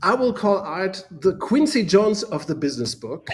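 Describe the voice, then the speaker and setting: A man's voice: high-pitched, sing-song, moderate pace, darkish tone, a little hiss or hum. A man with a German accent, a native German speaker, co-hosting a casual live discussion in an enthusiastic, rambling way that often repeats words. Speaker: co-host.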